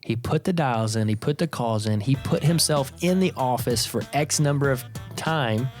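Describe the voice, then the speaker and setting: A man's voice: mid-range voice, moderate pace, a little mellow, faint music behind. A man co-hosting a podcast in casual chat, explaining ideas step by step and teasing his co-host. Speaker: podcast co-host, insurance agency leader.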